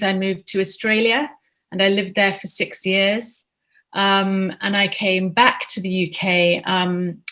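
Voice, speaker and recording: A woman's voice: medium pitch, fairly bright tone. A woman in her thirties from Zimbabwe, an author answering interview questions in a chatty way with many ums.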